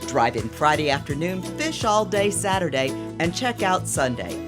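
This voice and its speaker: A woman reading a scripted promotional voice-over for an auction package in a steady announcer style. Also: on the low side, moderate pace. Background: music.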